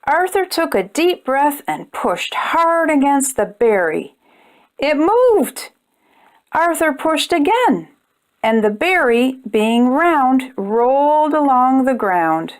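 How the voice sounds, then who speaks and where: High-voiced woman reading to children, very animated. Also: slow pace. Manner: expressive, addressing young children directly. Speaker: storytime host reading a children's book. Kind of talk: children's read-aloud narration.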